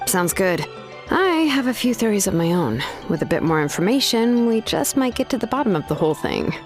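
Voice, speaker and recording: A woman playing a calm, analytical young female strategist in a video game, measured and thoughtful. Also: medium pitch, faint music behind, natural voice. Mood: thoughtful, composed. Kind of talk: video game character acting.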